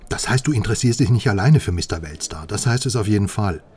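A wry, knowing newspaper man, played by an actor, with a low voice. He confides in clipped, conspiratorial phrases, as in a radio crime play. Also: animated, fast, a little mellow, close to an everyday voice. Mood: conspiratorial warning. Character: male journalist informant, wry, knowing and conspiratorial. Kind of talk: radio drama dialogue.

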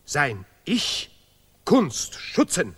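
Radio-play role: grandiose scholar guarding art, slow, declamatory, repetitive, deliberately ungrammatical. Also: male voice, a little high, wildly swooping pitch, breathy edge, heavily acted. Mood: righteous. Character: self-important Nobel laureate professor, fervent guardian of German art. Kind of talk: experimental radio play dialogue in broken, chanted German.

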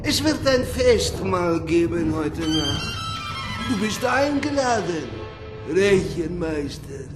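An actor playing a vampire count's sinister manservant in a radio drama, formal and menacing. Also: male voice, high-pitched, sing-song, takes it slowly, echoey room, full character voice. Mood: solemn menace. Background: violin music.

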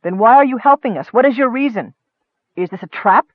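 A man voicing an earnest, hot-tempered fantasy cleric, wary and forceful, on a muffled audiobook recording. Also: sits high, expressive, brisk, no echo. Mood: wary, challenging.

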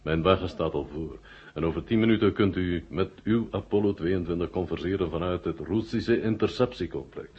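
Self-assured, brushing-off official male actor, low voice, phone-like vintage radio play sound with faint noise. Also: a little fast, lightly acted. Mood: dismissive. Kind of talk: 1970 science-fiction radio drama acting.

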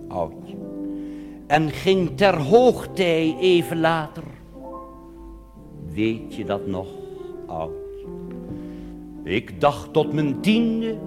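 A man performs a nostalgic old Dutch grandfather in a cabaret song, reminiscing to his wife in slow, drawn-out phrases with a Netherlands accent. The pitch sweeps widely, and music plays in a long-reverberating hall. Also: heavily acted. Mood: wistful, tender.

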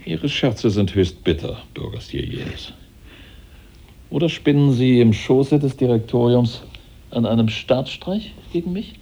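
A man acting in a German radio play as an embittered, suspicious head of a revolutionary government, formal, aggrieved and dramatic, with a husky, dark voice. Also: medium pitch, animated, measured pace. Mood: bitter, anxious.

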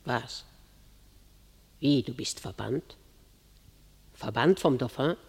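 A woman's voice: a bass voice, expressive, slow, slightly rough, full character voice. An actress playing a mocking, imperious queen mother who takes a young warrior woman prisoner, in a classic verse radio drama. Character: scornful queen mother, commanding captor. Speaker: villainous royal captor. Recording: long hall reverb.

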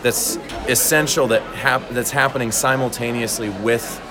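A man being interviewed as a voice actor, chatting casually and explaining his craft in a halting, thoughtful way. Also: mid-range voice, fairly even intonation, a crowd in the background. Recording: convention recording with loud background music.

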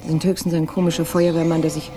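An actress playing a cool, prickly, sharp-tongued woman in a 1960s German radio crime drama, with a curt, cutting manner. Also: low voice, little pitch movement, fast, natural voice. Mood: cold, cutting. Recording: vintage radio drama, music behind.

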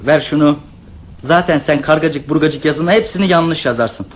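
A man's voice: a little high, moderate pace, slight background noise, natural voice. An actor in a radio play voicing a bossy, know-it-all teenage eldest brother who orders his siblings around. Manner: bossy and commanding.

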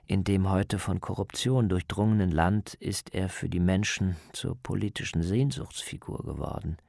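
A male documentary reporter with a fairly low voice delivers scripted narration. There is noticeable fry in his tone, and the sound is very dry and close. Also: moderate pace, darkish tone, dry recording.

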